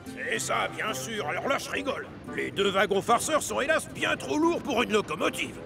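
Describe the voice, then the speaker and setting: A swaggering male diesel engine from a kids' cartoon. He is bossy and scheming, with a high-pitched, gravelly voice that swoops around in mockery. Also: sing-song, moderate pace, music in the background, full character voice. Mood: mocking, bossy. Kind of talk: animated children's cartoon dialogue.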